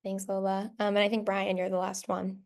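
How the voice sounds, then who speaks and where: A woman's voice: mid-range voice, flat delivery, fairly quick, vocal fry. A woman moderating a school's virtual information session over a video call, casual and encouraging as she hands the floor to others.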